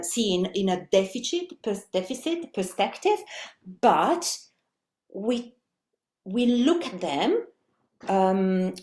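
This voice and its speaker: A middle-aged woman with an Italian accent, a native Italian speaker, giving a webinar presentation in a halting way with many fillers and restarts. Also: mid-range voice, a little mellow. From Italy. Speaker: language education presenter.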